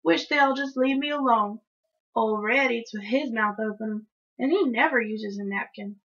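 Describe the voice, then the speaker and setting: A woman's voice: on the high side, normal speed, a bit bright, highs cut off. A woman voicing a spirited, stubborn young country girl who grumbles and complains in a children's storybook. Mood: grumbling. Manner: folksy, dramatic complaining. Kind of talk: children's storybook read-aloud.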